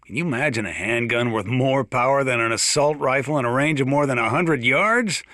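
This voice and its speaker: Man acting a folksy old American sheriff, forceful bright voice. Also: mid-range voice, no echo, clean studio sound, close to an everyday voice. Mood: folksy, opinionated. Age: older. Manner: folksy, plain-spoken, drawn-out hesitations. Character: folksy, gruff old small-town mountain sheriff. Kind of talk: in-game radio broadcast monologue.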